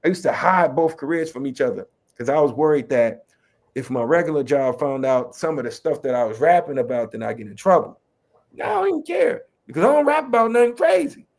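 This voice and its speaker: A man's voice: fairly high pitch, very animated, fairly quick, a little mellow. Chicago west side guy w a bit of new york in his accent, easygoing, keeps saying you know what i mean. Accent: Chicago West Side, slight New York. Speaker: rapper and educator, guest. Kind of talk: casual interview talk.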